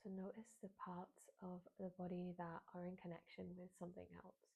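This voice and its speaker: A woman guiding a beginners' meditation in a soft, breathy voice, with soothing phrasing and frequent pauses. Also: lowish voice, monotone. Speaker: meditation guide, yoga teacher.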